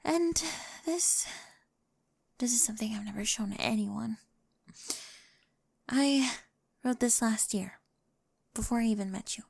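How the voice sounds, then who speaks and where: A nerdy young girlfriend, played by a woman, intense and affectionate, speaks in a high voice with plenty of fry. She is awkward and precise, often slipping toward a whisper. It is a close, very dry ASMR roleplay recording. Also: unhurried, long pauses, darkish tone, no room sound. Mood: earnest, shy. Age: young adult. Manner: hesitant, precise, often dropping to a breathy whisper. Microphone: close microphone.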